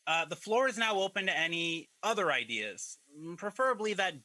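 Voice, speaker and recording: A man plays a fussy, flustered small-town mayor trying to keep a rowdy town meeting on track in a comedic radio play. His voice is bright and sharp with lively swings in pitch, and it sits close and dry. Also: fairly quick, dry recording, poor audio. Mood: formal. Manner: fussy, evasive, exasperated.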